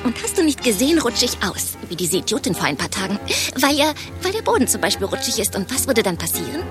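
Brash office secretary, very lively pitch, gossipy, bawdy, exclamatory, radio drama, music behind. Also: female voice, quick pace. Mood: animated, indignant. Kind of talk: German radio play acting.

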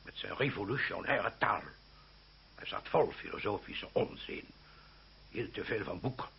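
Gravelly, blustering old uncle. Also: male voice, on the low side, expressive, measured pace. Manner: stammering, flustered bluster. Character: blustering older uncle, conservative, deferential to nobility, easily indignant. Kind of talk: old Dutch radio play acting. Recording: muffled, slightly noisy old radio recording.

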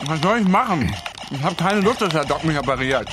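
Actor as anxious high-voiced boy. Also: expressive, brisk, over music, lightly acted. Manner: worried, questioning. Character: anxious young swimmer boy, pleading and unsure.

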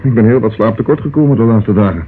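An actor playing a courteous police inspector in a Dutch radio drama, asking calm, probing questions. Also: male voice, fairly low pitch, fast, lightly acted. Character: police inspector, courteous, tender with his sweetheart. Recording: muffled, noisy old radio recording.

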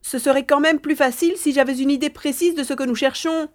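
A woman's voice: sits high, fairly even intonation, brisk, lightly acted. A woman playing an anxious young sleuth in an audio drama, narrating inner thoughts while sneaking around, full of exclamations and questions. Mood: wary. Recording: roomy long reverb, faint noise.

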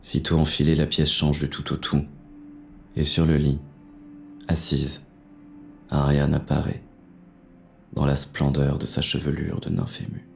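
A man plays a hunted, hard-boiled inspector telling his own noir story. His voice is very low and gravelly, weary and wary, with dramatic delivery over faint music. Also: completely flat delivery, a little slow, long pauses, heavily acted. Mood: puzzled, brooding. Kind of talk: first-person noir audio drama narration.

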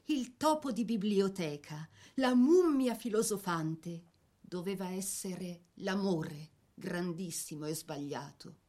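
A woman reading a scripted biographical narration for a dramatic piece, with measured, theatrical phrasing. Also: medium pitch, darkish tone.

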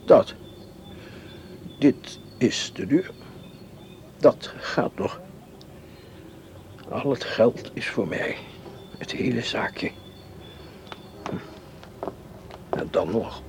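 A man's voice: medium pitch, lively intonation, drawn-out words, pauses often, darkish tone, a little hiss or hum. A man acting in a radio play as a scheming, cynical educated doctor who muses darkly to himself. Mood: greedy musing.

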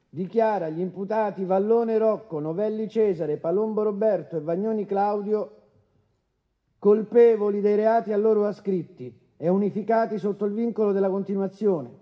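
Casting: actor as impassive male judge, high-pitched voice, official declamatory reading for courtroom drama. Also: dull audio, close to an everyday voice. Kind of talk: formal courtroom sentence reading.

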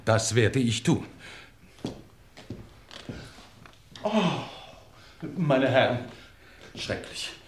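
Pompous, aghast English lord, vintage radio drama, theatrical delivery with very lively intonation. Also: male voice, medium pitch, slow, a little hiss or hum. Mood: shocked. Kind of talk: 1950s German radio play acting.

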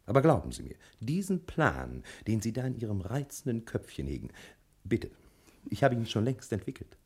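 An actor playing a smooth-talking, scheming male detective who ingratiates himself, in 1960s German radio crime drama style. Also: fairly low pitch, big pitch swings, normal speed, darkish tone, exaggerated voice. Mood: insinuating, wooing. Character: suave scheming male detective courting a client's wife.

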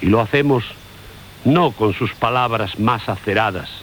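A man's voice: lowish voice, measured pace, fairly bright tone. A man reading solemn literary radio narration in a grave, declamatory manner. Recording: hissy old radio recording.

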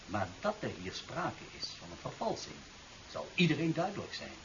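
An actor playing a self-assured, condescending government official in a 1970s radio drama, formal. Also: male voice, mid-range voice, wildly swooping pitch, normal speed, a bit bright. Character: smooth-talking, assured male official, condescending and domineering. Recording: dull, hissy 1970s radio recording.

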